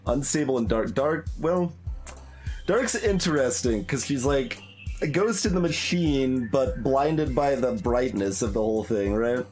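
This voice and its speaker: A man's voice: medium pitch, animated, a little slow, a little mellow. A man giving casual live game commentary as a streamer, thinking aloud in a hesitant, musing way and trailing off mid-thought. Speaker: streamer, let's play host. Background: game music.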